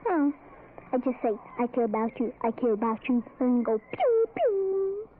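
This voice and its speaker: High, childlike, naive president. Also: female voice, very animated, normal speed. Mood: naive, sweet. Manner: naive, earnest. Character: sweet, simple, childlike president explaining love. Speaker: performer in a public service spot. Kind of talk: scripted radio spot. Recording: tinny, faintly noisy old radio recording.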